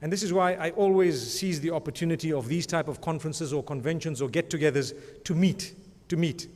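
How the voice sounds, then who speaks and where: A man's voice: on the high side, fairly quick, darkish tone. Man speaking in an echoing hall. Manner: conversational, rambling, frequent religious blessings. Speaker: award presenter. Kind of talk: speech at an awards ceremony. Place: large conference hall. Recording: long hall reverb.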